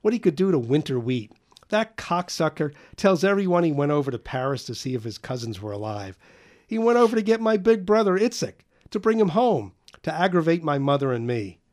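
A man's voice: mid-range voice, expressive, a little fast, few pauses, natural voice. A man reading an audiobook voices Eddie, a bitter young man who rants crudely and mockingly.

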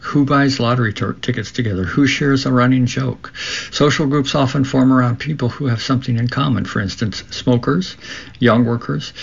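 Creaky-voiced man reading aloud on radio. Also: mid-range voice, highs cut off. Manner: measured read-aloud delivery with occasional stumbles. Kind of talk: scripted reading for a labor radio show.

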